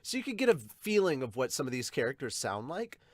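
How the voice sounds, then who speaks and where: A man's voice: medium pitch, sing-song, fast talker, dry recording. A man hosting a casual reaction podcast, explaining things emphatically and swearing casually. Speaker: podcast host. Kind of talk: casual chat, manga reaction.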